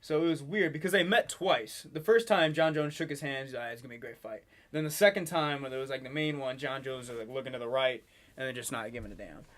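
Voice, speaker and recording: A young man co-hosting a podcast in casual chat, excitable and slangy, laughing often. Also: mid-range voice, fast talker. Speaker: podcast co-host.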